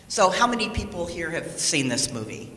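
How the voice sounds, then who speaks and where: A woman delivering a scripted sermon with measured, deliberate phrasing. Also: lowish voice, very animated, sharp tone, slight background noise. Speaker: lay speaker reading a sermon. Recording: echoey hall with long reverb.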